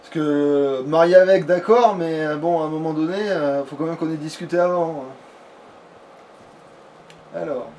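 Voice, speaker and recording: Male gamer, slightly high voice, sweary and grumbling, casual play-along chat over audible background noise. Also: steady tone, normal speed, pauses often, a little mellow. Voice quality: foul-mouthed, colloquial speech. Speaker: let's play gamer at the controls. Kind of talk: casual gameplay chat, thinking aloud. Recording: noisy home recording, clear background noise.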